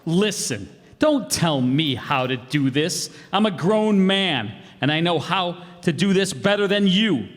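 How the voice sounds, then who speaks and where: A man's voice: sits high, animated, measured pace, hardly any pauses. A man playing a cocky, bossy, bumbling schemer with a brash, exasperated manner in an old-time radio comedy. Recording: live hall stage, long reverb.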